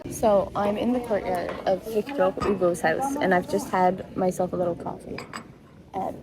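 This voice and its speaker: A woman's voice: mid-range voice, fairly even intonation, normal speed, a crowd in the background, rough recording. A young woman from Labrador, Canada, chatting casually in a travel vlog, rambling and self-deprecating, hot and tired. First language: English. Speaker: travel vlogger.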